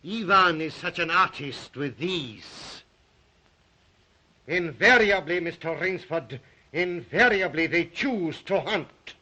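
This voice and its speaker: An actor playing a suave, psychotic aristocratic hunter of men, courteous and menacing in an old 1930s melodrama style. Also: male voice, on the high side, expressive, slow, bright, forceful tone, exaggerated voice. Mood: proud, eager. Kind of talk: 1930s melodrama film acting.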